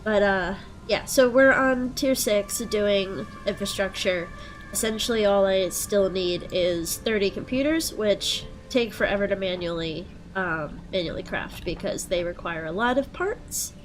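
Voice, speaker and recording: A young woman with a head cold and a stuffed-up nose, chatting casually through gameplay and thinking out loud with plenty of ums. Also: mid-range voice, unhurried, slight background noise, low-quality recording. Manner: relaxed, thinking aloud with frequent ums. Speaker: let's play host.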